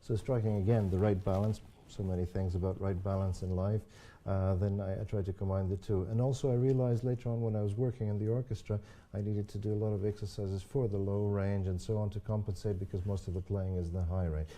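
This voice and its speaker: A man's voice: lowish voice, steady tone, brisk, hardly any pauses, warm tone. An older man, a native Croatian speaker and horn teacher, talking in a thoughtful interview with frequent restarts and agreeing yeahs. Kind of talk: live online interview.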